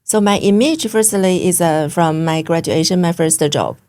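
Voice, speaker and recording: A woman from China speaking English with a Chinese accent as an interview guest, pausing often with fillers. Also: on the low side, a little mellow. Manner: hesitant, many fillers, simple English. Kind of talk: interview conversation.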